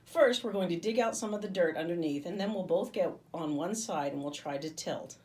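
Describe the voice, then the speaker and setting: A woman's voice: on the low side, brisk, a little mellow, close to an everyday voice. A woman reading as a curious, bossy young girl in a children's storybook, direct and insistent. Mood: exasperated, amazed.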